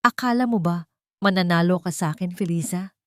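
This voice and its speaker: A woman's voice: on the low side, fairly quick, dry recording, clean studio sound, lightly acted. A woman voicing a cruel, taunting rich villainess who threatens a housemaid in an audiobook drama. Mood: cold gloating menace. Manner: taunting, menacing.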